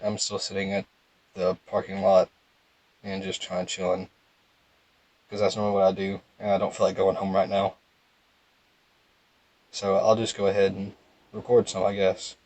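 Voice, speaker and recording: A young man with a calm, low voice, giving casual, rambling game commentary in a laid-back monotone. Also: moderate pace, pauses often, no room sound. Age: young adult. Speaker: gaming video host.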